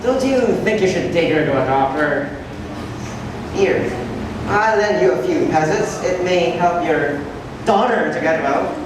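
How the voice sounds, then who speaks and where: A woman's voice: on the low side, animated, a little slow. A woman acting a smug, taunting crooked friend in an amateur stage play, with a mocking, theatrical drawl. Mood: sly, insinuating. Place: stage in an echoey hall. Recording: echoey hall, distant mic, noisy.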